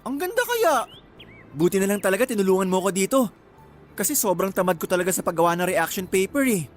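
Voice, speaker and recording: A man's voice: high voice, sing-song, quick pace, soft background music. A man playing a young student boyfriend, sweet-talking and pushy, in a Tagalog radio drama. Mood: sweet, grateful. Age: young adult.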